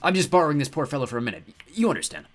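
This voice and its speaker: A man's voice: medium pitch, sing-song, very fast, pitched above the performer's own voice. A man voicing a cheerful god who talks in a light, offhand, apologetic way. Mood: breezy. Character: cheerful grinning god in a borrowed farmer's body.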